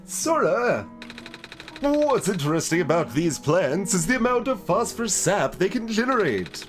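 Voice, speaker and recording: A man voicing a gentle talking plant, a botanist in a game, with drawn-out, wistful musing. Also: sits high, very animated, soft background music, voice pushed up. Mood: chatty, informative. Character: gentle plant expert, a talking potted plant in shirt.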